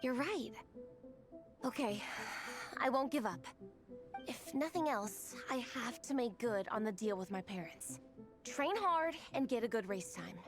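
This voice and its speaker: High, swooping, earnest girl trainee. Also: animated, measured pace, long pauses. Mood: hesitant resolve. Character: determined, slightly insecure young girl in training. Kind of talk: video game character acting. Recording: game music under the voice.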